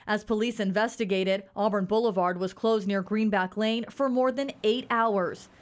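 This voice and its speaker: A woman news reporter narrating a packaged story in a steady, factual way. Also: medium pitch, moderate pace.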